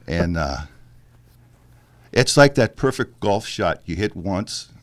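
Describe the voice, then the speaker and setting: A male musician with a deep bass-baritone voice, talking in a halting, thoughtful way with fillers and restarts in a casual interview. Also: animated. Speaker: musician guest.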